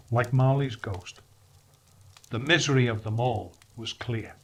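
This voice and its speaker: A man reading a story aloud as narrator, with deliberate, expressive phrasing and a dramatic storybook delivery. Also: mid-range voice, husky, rough recording. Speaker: story reader.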